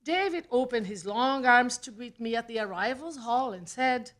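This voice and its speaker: A woman with a Brazilian accent, a native Portuguese speaker, reads a personal essay aloud at a live event, with deliberate phrasing and dramatic pauses. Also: on the high side, expressive, a bit bright. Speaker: writer reading own personal essay. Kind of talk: scripted storytelling read aloud at a live event. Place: small theatre, live reading event.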